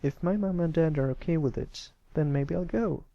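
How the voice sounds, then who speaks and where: A shy young pony boy played by a male reader speaks in a slightly raised, creaky voice. The delivery is polite and hesitant, in a read-aloud children's story style. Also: on the high side, normal speed, no echo, muffled recording, pitched above the performer's own voice. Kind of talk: character voice in storybook reading.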